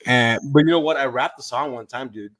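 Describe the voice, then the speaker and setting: A man's voice: medium pitch, brisk, a bit bright, dry recording, low-quality recording. A man with a Rio Grande Valley accent hosting a podcast, loose and joking, swearing casually and slipping in Spanish words. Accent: Texas Rio Grande Valley. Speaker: podcast host, realtor.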